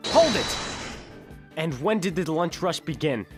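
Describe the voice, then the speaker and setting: A man's voice: fairly high pitch, expressive, a little slow. A man playing an earnest, dogged defense lawyer in an audio drama, questioning and a little sheepish. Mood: forceful. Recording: music behind the voice.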